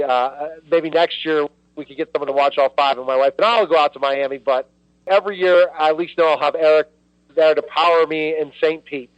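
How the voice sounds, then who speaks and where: A man's voice: fairly high pitch, no room sound. A man hosting a live radio interview from a studio, talking in an enthusiastic, chatty, rambling way. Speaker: radio host. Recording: dry lo-fi radio audio.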